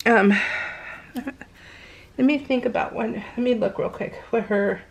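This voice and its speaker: A woman's voice: on the high side, slow pace. A middle-aged woman chatting casually over a craft video, gushing and slangy, telling stories with plenty of exclamations. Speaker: craft vlogger.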